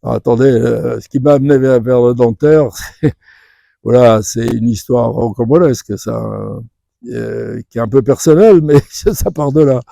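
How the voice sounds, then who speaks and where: Older Frenchman, very gravelly, dark voice. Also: medium pitch, moderate pace, no echo, faint music behind. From Vendée region, western France. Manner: chatty, digressive, good-humoured. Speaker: interviewee, retired dental professor. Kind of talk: spontaneous anecdotal interview.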